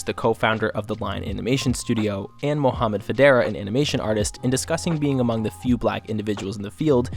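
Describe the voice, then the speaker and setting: A man reading scripted documentary narration in a measured, formal, informative manner. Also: medium pitch. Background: faint music.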